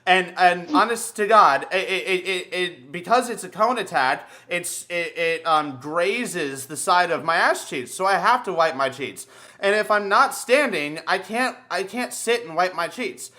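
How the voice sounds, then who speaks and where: A young man with a high, bright, forceful voice hosts a casual comedy podcast. He swears freely and tells stories theatrically, doubling back on words as he builds to a point. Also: expressive, a little slow. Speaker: podcast host. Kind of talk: casual comedic storytelling chat.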